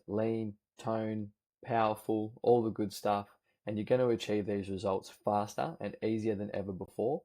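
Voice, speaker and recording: A man from Australia with an Australian accent, a nutrition coach making an upbeat, persuasive pitch that lists points one after another. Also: dark tone. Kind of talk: sales pitch video.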